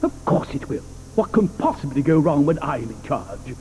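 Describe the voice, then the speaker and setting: An actor playing an eccentric, excitable male chocolate maker in a 1980s audio drama, with theatrical, whimsical exclamations. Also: fairly high pitch, moderate pace, slight background noise. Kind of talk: 1980s radio drama acting.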